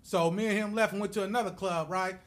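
A man's voice: sits high, fairly even intonation, fast talker. A middle-aged man from the United States who tells stories with energy as a podcast guest and acts out the voices of the people in them. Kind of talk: animated storytelling.

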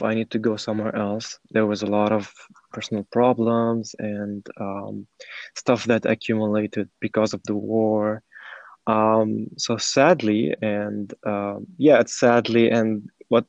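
Halting young man, dull lo-fi recording. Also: fairly low pitch, a little mellow, no echo. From Syria. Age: 20s. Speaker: podcast guest, former startup program participant. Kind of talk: conversational personal storytelling in an interview.

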